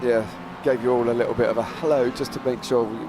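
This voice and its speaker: A man's voice: medium pitch, fairly even intonation, noisy background. A British man doing live motor racing commentary, teasing his co-host in easygoing banter and reading out grid positions. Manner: chatty, teasing, reads out grid positions briskly. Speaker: lead race commentator.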